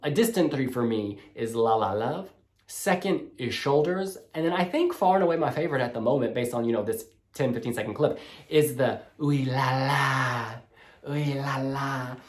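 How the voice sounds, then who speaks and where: A man with a lively, swooping delivery, sassy and gushing as he reviews songs for his music blog. His voice sits at a middle pitch and bounces all over the place. The sound has a noticeable roomy echo. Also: normal speed. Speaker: music blog host reviewing song demos. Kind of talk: casual video review. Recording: roomy echoey room.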